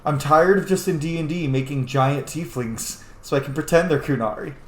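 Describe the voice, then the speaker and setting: A man's voice: a little high, moderate pace, roomy sound. A man giving casual, sweary gameplay commentary, talking to the characters as he plays a role-playing game. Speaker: gaming video host playing a role-playing game.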